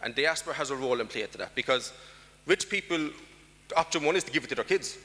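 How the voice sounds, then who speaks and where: A man's voice: mid-range voice, lively intonation, fast, pushed and bright, long reverb tail. A man with an Irish accent giving an emphatic conference talk, putting rhetorical questions to the audience. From Ireland. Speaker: conference speaker. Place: large conference hall.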